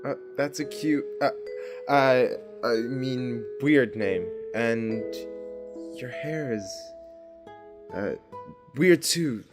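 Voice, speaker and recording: A friendly, awkward coworker from a dating simulator, in a medium-pitched voice. The speech is hesitant and bashful, acted for audio drama. Guitar music plays clearly behind it. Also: male voice, drawn-out words, pauses often.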